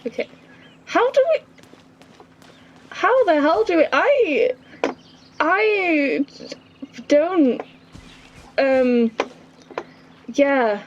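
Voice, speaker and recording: A woman's voice: high-pitched, lively intonation, very slow, pauses often, muffled recording, a little hiss or hum. A woman giving casual, playful commentary while playing a game, self-deprecating and talking back to it. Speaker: gaming video creator.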